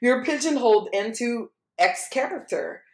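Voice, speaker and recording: Emphatic woman, echoey room. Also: on the high side. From Louisiana. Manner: animated, emphatic, quick to laugh. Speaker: podcast host. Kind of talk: casual podcast conversation.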